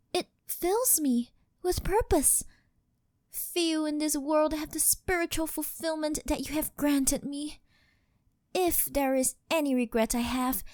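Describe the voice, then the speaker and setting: A woman's voice: high-pitched, darkish tone, dry recording, studio quality. A woman playing a devoted yandere doll maid who worships her master, speaking with reverent, formal servility in an ASMR roleplay.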